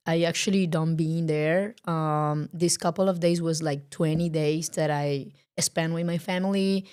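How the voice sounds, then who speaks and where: Soft-spoken Colombian woman, halting. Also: lowish voice, clean tone. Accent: Colombian Spanish. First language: Spanish. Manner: halting, searching for words, many fillers. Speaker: podcast guest, filmmaker. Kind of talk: casual interview chat.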